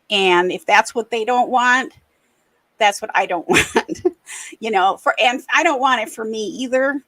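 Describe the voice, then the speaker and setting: A middle-aged woman from the American Midwest, hosting a podcast and speaking candidly and reflectively, often pausing and restarting. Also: mid-range voice, a bit bright, dry recording, rough recording. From Racine, Wisconsin, USA. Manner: earnest, reflective, halting. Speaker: podcast host. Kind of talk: candid personal conversation.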